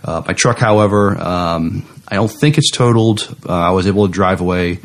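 A man co-hosting a podcast, chatting casually in a mild, halting way. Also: low voice. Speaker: podcast co-host. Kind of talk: casual chat.

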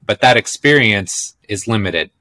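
A man's voice: fairly low pitch, animated, a little slow, sharp tone. A man hosting a podcast interview, easygoing and agreeable, often chiming in with "yeah.". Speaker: podcast host.

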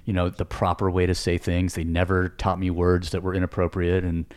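Chatty podcast host, lowish voice. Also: male voice, completely flat delivery, brisk, clear, resonant tone. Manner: chatty, reflective, joking. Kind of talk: casual podcast conversation.

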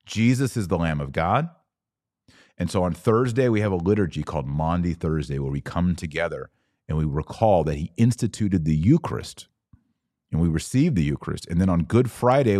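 Man, American accent, deep voice, dry close sound, emphatic, didactic, podcast host preaching to listeners. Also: darkish tone, no room sound. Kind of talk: live chat with listeners turning into preaching.

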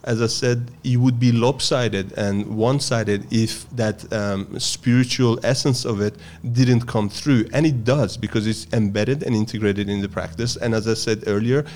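A man from Iran, a guest on an interview, explaining his practice in a measured way with frequent pauses and fillers. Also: mid-range voice, fairly even intonation, a little mellow. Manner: measured and explanatory, with many hesitations. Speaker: guest, Persian strength and yoga teacher. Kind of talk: thoughtful interview answers.

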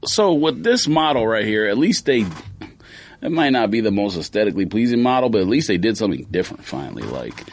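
A man's voice: fairly low pitch, sing-song, fast. A man with an American accent co-hosting a podcast, casually reviewing sneaker releases in an offhand, informal, teasing way.

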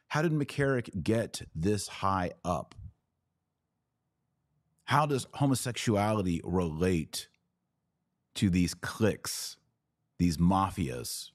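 A man hosting a podcast, giving informal, emphatic commentary with deliberate repetition. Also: deep voice, animated, no room sound, clean studio sound. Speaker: podcast host. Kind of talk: informal commentary on a played clip.

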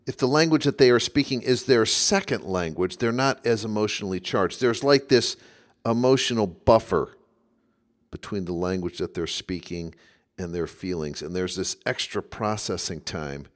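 Male podcast host; low-ish voice, musing, conversational, dryly wry at times. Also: normal speed. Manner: thoughtful, conversational, occasionally wry. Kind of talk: reflective solo podcast monologue.

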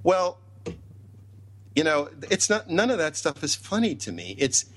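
A man's voice: fairly high pitch, sing-song, a little slow, a little hiss or hum. A middle-aged man speaking as an interview guest, opinionated and emphatic, repeating phrases to drive points home. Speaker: interview guest, TV writer and producer. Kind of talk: interview talk.

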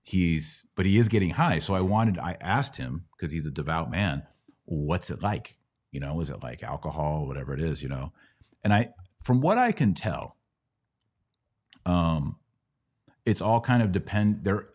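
A man with a very low voice hosts a religious Q&A podcast. His delivery swings widely in pitch as he talks conversationally, leaning hard on key words and repeating them to drive a point home. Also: lively intonation, normal speed, darkish tone. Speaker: podcast host.

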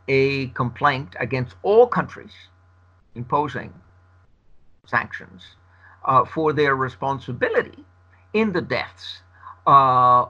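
A man whose first language is Spanish gives a long interview answer, arguing in a measured, emphatic way. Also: medium pitch, sharp tone. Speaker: interview guest. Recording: dull lo-fi recording.

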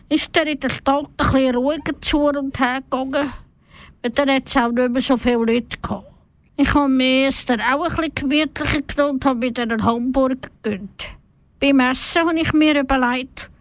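Woman, Swiss German accent, radio reporter telling her own story plainly. Notably high pitch, close dry sound. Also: no echo. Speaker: radio presenter. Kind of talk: personal radio report, simply told.

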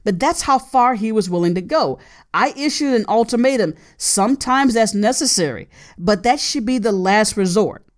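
A woman's voice: a little high, expressive, moderate pace. A woman in her late thirties hosting an advice podcast, talking earnestly and repeating her key points for emphasis. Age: 30s. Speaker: podcast host.

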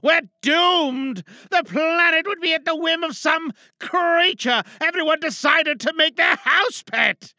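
Woman acting a high, intense, paranoid spaceship captain. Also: a little slow, hardly any pauses, bright, forceful tone, no room sound, studio quality, exaggerated voice. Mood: desperate, pleading. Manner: pleading, commanding, theatrical outbursts. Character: stern, paranoid female spaceship captain, desperate and commanding. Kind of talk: dramatic monologue.

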